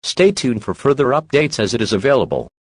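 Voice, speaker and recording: A man's voice: medium pitch, very animated, a little fast, slightly rough, dry recording, studio quality. A man reading a scripted emergency alert in a formal, impersonal, mechanical manner.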